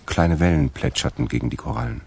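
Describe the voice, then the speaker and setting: A man acting as the narrator of a scripted crime radio drama, with a gravelly voice. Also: extremely low pitch, quick pace, background noise. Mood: measured storytelling. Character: male narrator of a crime story.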